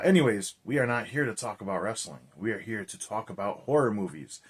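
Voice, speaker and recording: A man with a hoarse, raspy voice chatting energetically as a horror movie vlogger, loose and full of fillers. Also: lowish voice. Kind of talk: casual vlog chat.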